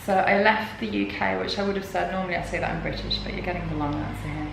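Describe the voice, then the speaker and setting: A woman's voice: fairly low pitch, little pitch movement, fast talker, gravelly. A middle-aged woman, a guest in a casual interview, telling her life story in an open and reflective way. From Denmark. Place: indoors at a yoga retreat. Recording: echoey room with background noise.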